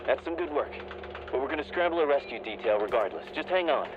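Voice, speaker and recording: Blunt base dispatcher on noisy radio. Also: male voice, medium pitch, animated, fast talker. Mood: firm. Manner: blunt military radio talk. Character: male radio dispatcher at base, firm, gritty, dedicated. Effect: radio transmission. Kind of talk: dramatic acting in a video game audio log. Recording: muffled, noisy radio-filtered sound.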